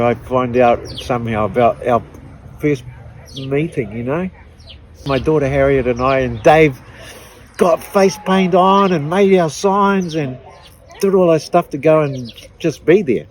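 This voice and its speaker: A middle-aged woman with a New Zealand accent, giving an emotional personal testimony in an earnest, halting way with frequent restarts. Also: sits low, a little mellow, slight background noise, low-quality recording. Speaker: activist interviewee.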